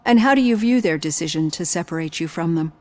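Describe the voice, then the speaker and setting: An actress playing a composed psychologist in a video game, speaking in a calm, measured, gently probing way. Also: female voice, on the low side, close to an everyday voice. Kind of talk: scripted game dialogue.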